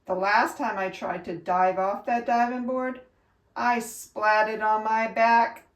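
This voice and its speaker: A woman reading a children's storybook aloud like a teacher, with expressive, emphatic and instructive delivery. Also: a little high, takes it slowly. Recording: echoey room, distant from mic.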